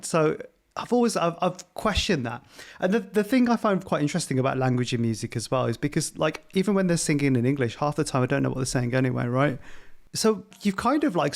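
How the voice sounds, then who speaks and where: A man's voice: mid-range voice, creaky. A man whose first language is Gujarati, hosting a podcast and asking long questions in a chatty, roundabout way. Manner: chatty, circling questions with "like" and restarts. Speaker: podcast host. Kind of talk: casual interview questions.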